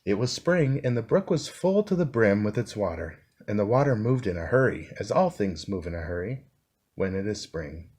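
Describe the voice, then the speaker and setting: A man narrates a classic children's story in a read-along style. His voice sits on the low side, yet it rises and falls a great deal as he reads gently to young listeners. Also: moderate pace, breathy edge. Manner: gentle, expressive reading. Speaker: storybook reader.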